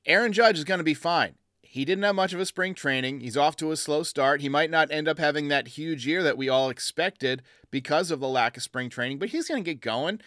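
A man's voice: fairly high pitch, brisk, fairly bright tone, no echo, studio quality. A man hosting a fan call-in sports show, giving blunt, emphatic opinions in a casual way.

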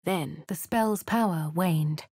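An actress voicing a posh young adult woman adventurer with an upper-class English accent, narrating her actions in a measured, clipped way. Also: fairly low pitch, a little mellow, slightly rough, no echo, clean studio sound, exaggerated voice. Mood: calm narration.

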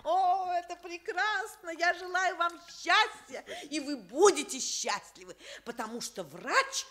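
A genteel elderly widow in a Soviet radio drama. She speaks high and slowly, with effusive old-fashioned courtesy and very lively melody. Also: exaggerated voice. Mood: heartfelt, welcoming. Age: older. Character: gracious, elated elderly professor's widow with old-fashioned wit. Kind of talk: Soviet radio theatre acting.

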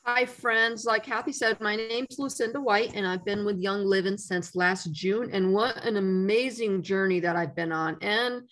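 A woman's voice: mid-range voice, normal speed, few pauses, fairly bright tone, poor audio. A woman introducing herself and presenting in a casual, friendly way. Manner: friendly, addresses listeners as friends.

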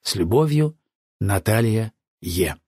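A man narrating an audiobook from a script in a measured, earnest way. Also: lowish voice, animated.

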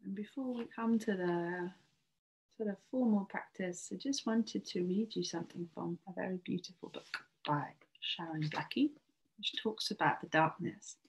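A woman guiding a yoga nidra relaxation in a soothing, breathy manner that often lapses into a near-whisper. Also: medium pitch, normal speed, rough recording. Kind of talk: guided relaxation meditation.

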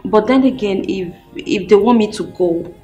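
A woman with a Nigerian accent playing a humble, earnest young woman in a film drama, pleading in a halting, deferential way. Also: mid-range voice, mellow, faint music behind, close to an everyday voice.

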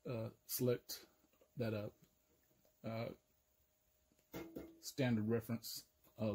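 An older man giving an informal, hands-on equipment demonstration, explaining step by step in a halting, methodical way. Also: mid-range voice, vocal fry, slightly rough. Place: indoor room full of audio gear. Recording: loud noise and electrical hum.

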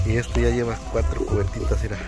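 A man's voice: on the low side, flat delivery, fast talker, over loud music. Jokey man filming a field. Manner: jokey, slangy, laughs often. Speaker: vlogger filming field workers. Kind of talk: casual on-the-job narration and chat. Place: outdoor blueberry field.

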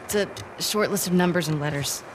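A woman's voice: on the low side, brisk, noisy background, natural voice. A woman playing a tough, determined young survivor in a game, terse and matter-of-fact. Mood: hopeful, urgent. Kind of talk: naturalistic game acting.

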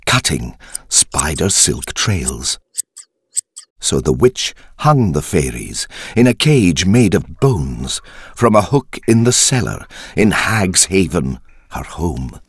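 Very deep, husky male storyteller. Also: no room sound, studio quality. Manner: theatrical, deliberate, ominous. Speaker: story narrator. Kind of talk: dramatic scripted storytelling in verse.

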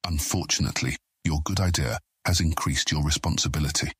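A man playing a commanding, shrewd guild deputy with a booming husky voice and deliberate, formal delivery in a fantasy audiobook. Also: fairly bright tone, dry recording, clean studio sound, full character voice. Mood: decisive. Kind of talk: full-cast fantasy audiobook acting.

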